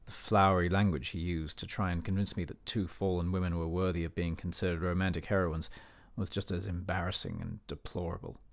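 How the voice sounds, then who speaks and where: Low-voiced, dignified older gentleman narrator played by an actor. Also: flat delivery. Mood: disdainful. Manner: measured, dignified, censorious. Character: disapproving, educated older man narrating a memoir. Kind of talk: formal scripted radio play narration.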